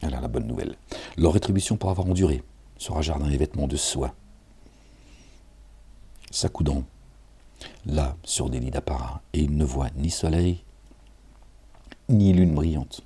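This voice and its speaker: Male preacher, very deep voice, husky; reads scripture loosely, sounds tired, chatty asides. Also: normal speed, long pauses, warm tone. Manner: casual, digressive, chuckles, addresses listeners directly. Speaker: self-styled preacher reading and commenting on scripture. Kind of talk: informal scripture reading with commentary.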